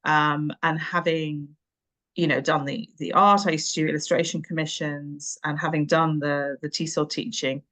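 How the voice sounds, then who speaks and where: A woman's voice: low voice, steady tone, moderate pace, a bit bright. A woman, reflecting conversationally on her working life as an interview guest, with hesitant phrasing and emphatic words. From Nairobi, Kenya; boarding school in England. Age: 50s. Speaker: interview guest, English teacher and tutor. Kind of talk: conversational career reminiscence.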